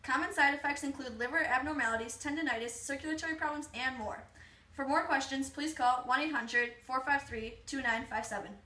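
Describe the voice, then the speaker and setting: A young woman reads scripted, advert-style narration. Her high voice is picked up from a little way off in an echoey room. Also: a little fast, sharp tone. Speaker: puppet show performer reading mock adverts. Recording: echoey room, distant mic.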